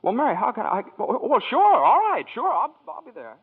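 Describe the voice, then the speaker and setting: An actor playing an earnest young husband who stammers when flustered, in 1940s radio acting. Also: male voice, very high voice, huge pitch swings, fairly quick, slightly rough, in a large hall, full character voice. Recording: tinny old radio broadcast.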